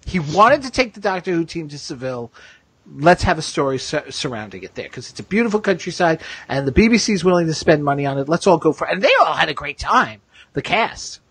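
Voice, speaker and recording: Animated, higher-voiced man, slightly husky. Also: fast, no echo. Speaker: podcast host. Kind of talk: casual fan discussion.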